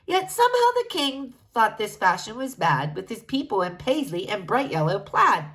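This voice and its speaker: A woman's voice: medium pitch, big pitch swings, moderate pace, fairly bright tone. A woman reading a rhyming children's story aloud, theatrically, with sing-song emphasis. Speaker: storybook reader.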